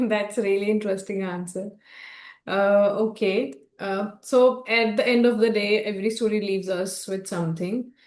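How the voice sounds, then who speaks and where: A woman's voice: medium pitch, steady tone, moderate pace, darkish tone, reverberant. A young woman interviewing an author, polite and brief in her replies. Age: young adult. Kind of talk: interview questions.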